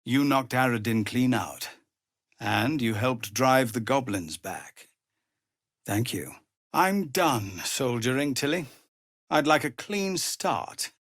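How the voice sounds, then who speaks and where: An actor playing a weary, honourable middle-aged male tiefling soldier in a fantasy game, speaking in an earnest, formal, measured way. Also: medium pitch, animated, a bit bright, no echo, studio quality, full character voice. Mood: proud, relieved. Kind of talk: dramatic fantasy game dialogue.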